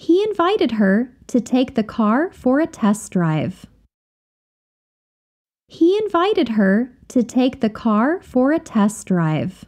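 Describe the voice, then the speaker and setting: A woman reading example sentences slowly and carefully, repeating each phrase for learners to copy. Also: fairly high pitch, big pitch swings, darkish tone. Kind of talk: slow, clear drill reading of example phrases.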